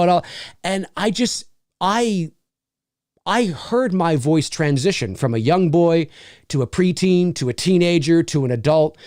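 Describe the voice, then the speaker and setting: A man in his forties hosting a solo live-stream Q&A, energetic and rambling with many fillers and restarts. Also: fairly high pitch, dry recording, clean studio sound. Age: 40s. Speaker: host.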